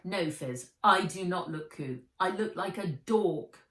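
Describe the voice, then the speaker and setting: A woman's voice: fairly low pitch, lively intonation, a little slow. A woman voicing a spirited, stubborn young tomboy heroine in a children's storybook. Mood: stubborn, grumbling. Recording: roomy echo, distant from the mic.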